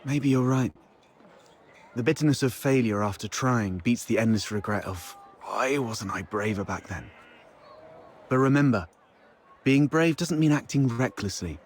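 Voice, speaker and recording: A man voicing a calm, shrewd, cautious ally in a video game, speaking in a measured, reflective way. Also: mid-range voice, close to an everyday voice. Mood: calm, earnest.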